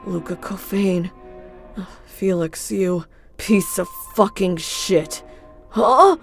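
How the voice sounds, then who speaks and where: A cold, cutting teenage boy in a fan comic dub, played by a woman. His voice is mid-pitched and coolly accusing, and it turns sharply hateful when pushed. Quiet music sits behind him. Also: slow. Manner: cool, accusing.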